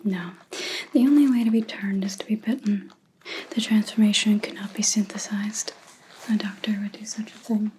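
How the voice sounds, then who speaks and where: A woman playing a menacing, scornful vampire hunter in an ASMR roleplay, whispering slowly and softly, close to the microphone, as she questions a captive. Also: mid-range voice, fairly even intonation, a little hiss or hum, close to an everyday voice, rough recording. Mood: scornful, disbelieving. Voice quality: soft, breathy whisper. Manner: slow, deliberate, mocking questioning.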